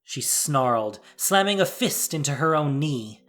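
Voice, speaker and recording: A narrator of medium register giving an expressive, dramatic scripted reading of fiction. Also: mid-range voice, unhurried.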